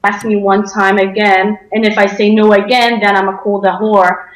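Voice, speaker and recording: A woman's voice: medium pitch, level tone, moderate pace. A young woman from Denmark giving personal testimony as an interview guest, speaking hesitantly, in a roomy, echoey sound.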